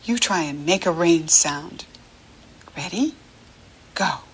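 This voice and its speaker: Husky, slow-paced American woman teaching children. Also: on the low side, animated. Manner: encouraging, instructive, simple short phrases with pauses. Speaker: reading teacher for young children. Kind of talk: children's picture-book lesson, talking directly to the child.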